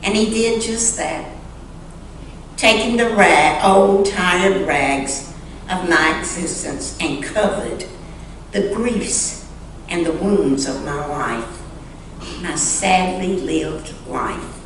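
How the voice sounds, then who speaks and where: A woman reading a story aloud in church in a solemn, dramatic style, with deliberate phrasing and pauses. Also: fairly low pitch. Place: large church sanctuary. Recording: echoey church hall, distant mic, faint noise.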